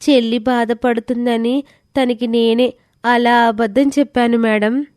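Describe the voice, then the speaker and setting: A woman voicing a gentle, responsible schoolgirl, an elder sister in an animated moral story. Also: fairly high pitch, unhurried, no room sound, clean studio sound, close to an everyday voice. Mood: earnest, resigned.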